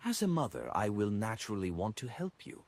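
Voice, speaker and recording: A man's voice: fairly low pitch, very animated, fairly quick, voice pushed up. A man voicing a serene, motherly demoness with an ethereal manner in a fantasy audiobook. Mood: serene, coaxing.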